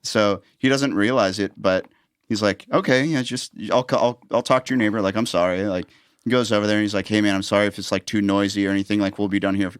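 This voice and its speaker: A man hosting a podcast, telling relaxed anecdotes and acting out the dialogue in them. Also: fairly low pitch, fast talker, clean tone, dry recording, studio quality. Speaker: podcast host. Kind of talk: casual podcast chat.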